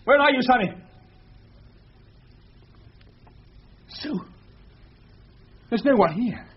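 An actor playing a sceptical, exasperated husband in a thriller radio drama, with a dry, sardonic, practical manner. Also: male voice, very high voice, animated, unhurried. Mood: alarmed, urgent. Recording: dull lo-fi radio recording, faint noise.

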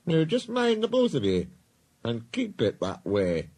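Lively, cheeky Scottish engine. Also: male voice, fairly high pitch, measured pace, pitched above the performer's own voice, heavily acted. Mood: firm warning. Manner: canny, dialect-rich banter. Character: pair of cheeky, proud Scottish twin steam engines, male.

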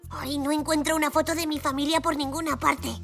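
An actor voicing a curious, eager five-year-old boy zebra in a children's cartoon, with a bright childlike voice and expressive delivery. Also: sits high, fairly quick, faint music behind. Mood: thoughtful.

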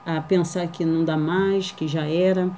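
Rio woman in her 30s, kinda low voice, shy and halting, lots of fillers, faint room hum behind. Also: fairly even intonation, mellow. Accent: Rio de Janeiro Portuguese. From Brazil. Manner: shy, hesitant, many fillers and tag questions. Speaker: vlogger. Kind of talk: casual first-vlog introduction. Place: small living room indoors.

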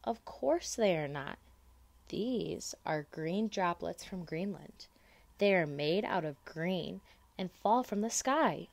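A crafty, persuasive boy playing big brother in a storybook, read by a woman. He explains things slowly and with great assurance, his pitch rising and falling in a lively way. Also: vocal fry, dry recording, exaggerated voice. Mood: coaxing, assured.

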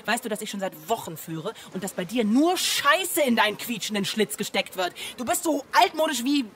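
A woman's voice: fairly high pitch, very animated, normal speed, a bit bright, slight background noise. An actress playing a bickering, proud female mail machine in a radio play, snappish and insulting. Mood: irritated, insulting. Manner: reciting lists, snappish. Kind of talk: German radio play acting.

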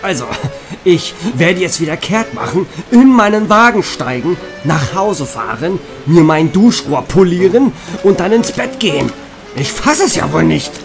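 A weary, sardonic police detective in an audio drama, acted by a man. He grumbles slowly, with pitch swinging widely, over music and rain. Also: expressive, creaky, exaggerated voice. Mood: exasperated. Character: weary, grumpy male police detective, easily exasperated. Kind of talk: German audio drama acting.

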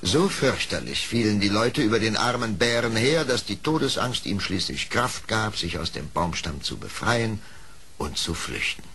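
A man's voice: fairly low pitch, very animated, normal speed, background noise. A man narrating a children's radio play in a scripted storybook style.

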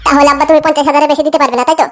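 A woman plays a feckless young village fellow in a comic cartoon. The voice is high and whiny, insistent and extremely quick, with faint noise behind. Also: steady tone, lightly acted. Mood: pleading. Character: pleading, feckless young village man, eager schemer.

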